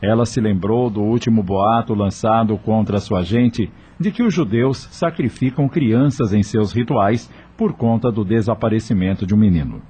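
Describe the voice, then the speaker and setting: A man with a husky voice reading scripted narration for a radio drama. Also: lowish voice, normal speed, hardly any pauses, warm tone.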